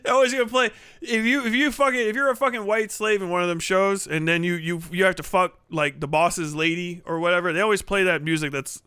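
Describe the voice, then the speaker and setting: High-voiced man riffing profanely. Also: brisk, hardly any pauses, clear, resonant tone, no room sound, studio quality. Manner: profane, animated, slips into mocking impressions. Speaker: streamer. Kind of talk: casual comedic riffing rant on a livestream.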